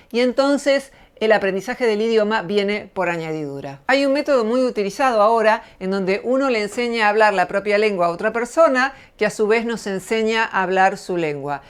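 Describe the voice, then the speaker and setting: A middle-aged woman with an Argentine accent explains her ideas in a measured, reflective way. Her voice is smooth and clear, set at an ordinary middle pitch. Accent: Argentine Spanish. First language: Spanish. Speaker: channel host, lecturer. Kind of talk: reflective spoken essay to camera.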